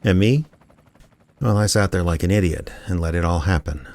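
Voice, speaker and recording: An actor playing a wry, persistent small-time American private investigator in an audio drama, plainspoken and reasoning. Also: male voice, low voice, moderate pace, a little mellow. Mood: wry narration. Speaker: lead radio drama actor. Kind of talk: audio drama dialogue and first-person detective narration.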